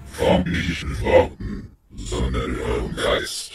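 A huge demonic beast speaks at a slow, measured pace in formal, submissive words. The voice is bottomlessly low and echoes over prominent music, as in a fantasy radio play. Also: male voice, creaky, exaggerated voice. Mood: devoted, earnest. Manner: slow, pleading, archaic formal speech. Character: huge fearful, servile male hellhound turned tiger beast. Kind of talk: fantasy audio drama acting.

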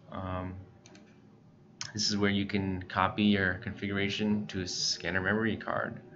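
A man giving a casual software walkthrough as a tutorial presenter, speaking informally and a bit hesitantly with frequent short pauses. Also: fairly low pitch. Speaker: tutorial presenter for a scanner retailer. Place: indoors, voice-over for screen recording. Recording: muffled, lo-fi with clear background noise.

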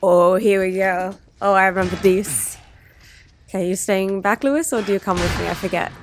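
A young woman streamer casually reacting to game cutscenes in an excitable, exclamatory way, close to a desk microphone. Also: mid-range voice, normal speed, noisy background. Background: game cutscene audio with music.

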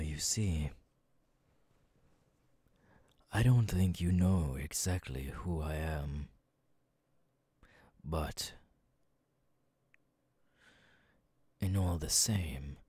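Man acting as haughty demon king, very low husky voice, soft-spoken, menacing, condescending, ASMR roleplay. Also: no room sound, full character voice. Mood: cold, condescending. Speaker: voice actor.

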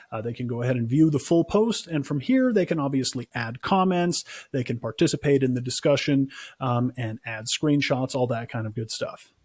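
A man in his thirties giving a casual, conversational software demo as a customer support presenter, recorded on a close mic. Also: medium pitch, no room sound. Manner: informal, conversational explaining.

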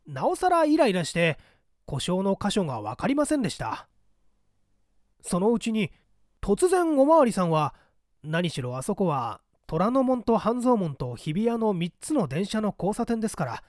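腰が低く慌て気味のトラック運転手が丁寧に弁明する様子を演じる男性。. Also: male voice, high voice, a little mellow, no room sound, clean studio sound. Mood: flustered, explaining. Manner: polite, apologetic, hurried explaining. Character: humble, flustered male truck driver giving testimony.

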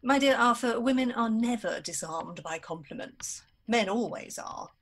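A woman's voice: on the high side, lively intonation, normal speed, fairly bright tone. Actress as a scheming, swooping society lady. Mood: coaxing, amused. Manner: arch, languid, theatrical. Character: scheming, witty, flirtatious society woman, Mrs Cheveley. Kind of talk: radio play acting.

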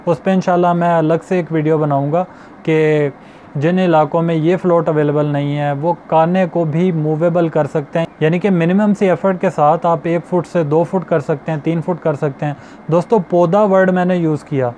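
A man's voice: fairly high pitch, steady tone, normal speed, a little mellow, slight background noise. A young man hosting a fishing channel, explaining step by step to camera and repeating his points, recorded on a lapel mic in a small indoor studio. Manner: explanatory, repeats points, addresses viewers as friends. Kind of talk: instructional talk to camera.

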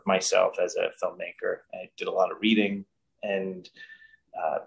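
Middle-aged new guy on a video call, lowish voice, thinks out loud, lots of restarts. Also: creaky, rough recording. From New York. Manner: reflective, restarts often, earnest. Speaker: guest director. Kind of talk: casual interview. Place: home room, indoors. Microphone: video call webcam.

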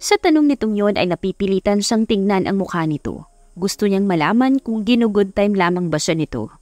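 Lady narrator reading a love story, medium pitch, music playing underneath. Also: expressive, brisk, a little mellow, dry recording. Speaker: audiobook narrator. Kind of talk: scripted romance story narration. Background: background music.

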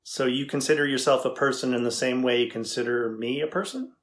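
A man's voice: medium pitch, steady tone, a little fast, natural voice. A middle-aged man playing a curious, skeptical tech engineer interviewing an AI in a scripted dramatization, matter-of-fact and inquisitive. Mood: probing, skeptical. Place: indoors, plain backdrop.

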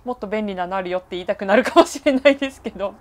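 A woman's voice: medium pitch, very fast. A woman in her thirties hosting an online interview in a polite, attentive way. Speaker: host, interviewer.

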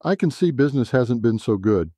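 A man's voice: medium pitch, lively intonation, a little fast, dark tone, no room sound, studio quality, natural voice. A man voicing a composed, businesslike woman executive in an audio science fiction story. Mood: confident. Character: sharply dressed, composed professional woman, tech executive.

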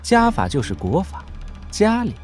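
An actor playing an informal, expressive young prince who leans on his adviser, talking in a familiar, colloquial way in a wuxia audio drama. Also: sits high, unhurried, faint music behind, heavily acted. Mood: wry, amused.

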